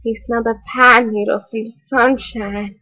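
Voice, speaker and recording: A woman playing a quirky, earnest read-aloud hostess with a mock foreign accent, telling a children's story with exaggerated delivery and deadpan asides. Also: on the high side, moderate pace, slightly rough, highs cut off, heavily acted.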